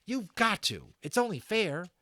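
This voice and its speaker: A man acts out a frantic young boy in a storybook, high-pitched and babbling. His words tumble out urgently and pleadingly, swinging up and down. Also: sing-song, a little slow, pushed and bright, no echo, studio quality, full character voice. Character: frantic young British schoolboy, excitable and pleading.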